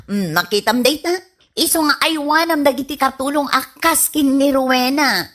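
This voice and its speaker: A woman's voice: high-pitched, moderate pace, a bit bright. A woman acting a chatty, frank neighbour in an Ilocano radio comedy-drama, gossipy and familiar, with an Ilocano Filipino accent. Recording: music under the voice.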